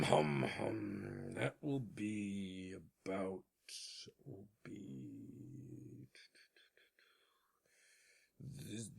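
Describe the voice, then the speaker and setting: Proud, self-important old king for a storybook tale: raspy, bright and forceful, slow and grand. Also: on the high side, exaggerated voice. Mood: grandly deliberating. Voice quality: gravelly, raspy. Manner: pompous, imperious, theatrical delivery. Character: proud, pompous old king of a tiny planet. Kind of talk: theatrical storybook dramatization.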